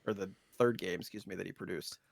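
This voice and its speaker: A man in his thirties co-hosting a casual gaming chat podcast, talking in a halting way with trailing asides. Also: deep voice, steady tone, vocal fry, no room sound. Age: 30s. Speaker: podcast co-host.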